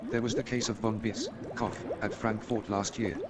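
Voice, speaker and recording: Guy doing eager brainy detective, lowish voice, declaims everything, radio play, noisy watery background. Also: steady tone, normal speed, close to an everyday voice. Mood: emphatic. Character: eager, brilliant amateur detective-chemist, self-assured man. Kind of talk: staged radio play acting.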